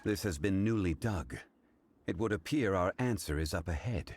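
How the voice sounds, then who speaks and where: Game casting: calm, authoritative scholar, fairly deep voice, formal phrasing with expressive swings. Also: male voice, moderate pace, noisy background. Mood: calm, commanding. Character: composed, dignified, commanding male scholar. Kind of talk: video game character acting. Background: game music.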